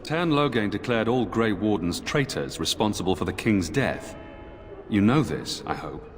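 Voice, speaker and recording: An actor playing a stern, dutiful knight commander in a fantasy game, formal and measured. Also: male voice, lowish voice, expressive, slight background noise, natural voice. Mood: grave, cautious. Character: stern, dutiful templar knight commander, wary but kindly. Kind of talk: fantasy video game voice acting. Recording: echoing hall, music behind.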